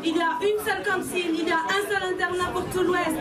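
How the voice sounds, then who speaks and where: Very high woman addressing a loud crowd. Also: fairly even intonation, brisk, very noisy. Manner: rousing, indignant. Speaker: student protest speaker. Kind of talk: speech to a rally crowd. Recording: distant, echoing, loud crowd.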